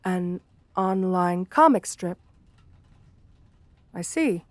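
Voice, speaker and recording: Woman voicing shy female gargoyle for ASMR roleplay: bookish, gentle, lonely. Unhurried, hesitant, formal delivery, close dry sound. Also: lowish voice, animated, no room sound. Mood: gentle, wistful. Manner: hesitant, polite, old-fashioned formal diction. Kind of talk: romantic ASMR audio roleplay.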